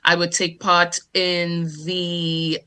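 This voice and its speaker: Bright-voiced Grenadian woman, articulate storyteller. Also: lowish voice, monotone, clean tone. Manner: articulate, measured, presentational delivery. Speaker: guest, dancer and performer. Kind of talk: interview storytelling.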